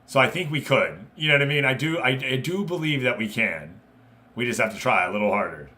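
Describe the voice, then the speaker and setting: A man's voice: mid-range voice, lively intonation, a little fast, bright, forceful tone. A man hosting a live game stream, giving casual commentary and chatting informally with viewers. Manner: informal, conversational, addressing viewers directly.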